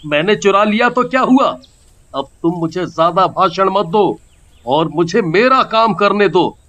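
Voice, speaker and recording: A man voicing a male phone seller and thief in a cartoon, talking loudly and frantically. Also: expressive, measured pace, muffled recording. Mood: defiant. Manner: high, loud and frantic.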